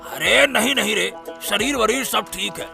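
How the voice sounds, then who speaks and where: Man acting a gravelly, grumbling cartoon boatman. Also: sits high, unhurried, over music, heavily acted. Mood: discontented. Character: discontented scheming boatman, grumbling, wanting easy riches. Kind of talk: Hindi cartoon story dialogue.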